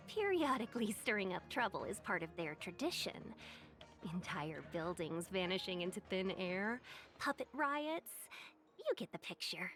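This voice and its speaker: A woman's voice: fairly high pitch, fairly bright tone. An actress playing a polite, formal young woman who guides travelers. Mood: calm. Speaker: game character.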